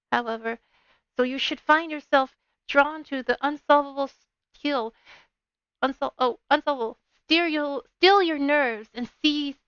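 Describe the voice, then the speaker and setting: A woman's voice: high-pitched, a bit bright, no echo, dull audio. A woman with a bubbly, playful manner, talking as a game streamer on a livestream. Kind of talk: casual livestream chat with read-aloud game narration. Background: game music.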